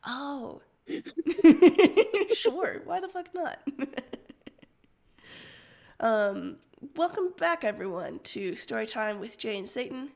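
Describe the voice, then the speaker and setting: A woman's voice: fairly high pitch, gravelly. A woman co-hosting a casual story-reading livestream, prone to giggling, with the start of a throat cold. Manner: giggly, breaks into laughter often. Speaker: co-host of a story-reading stream.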